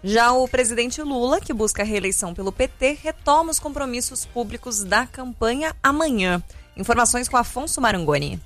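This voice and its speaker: Higher-voiced Brazilian woman presenting over music. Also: expressive, a little fast, fairly bright tone. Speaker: radio news presenter. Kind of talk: news presenting with casual banter.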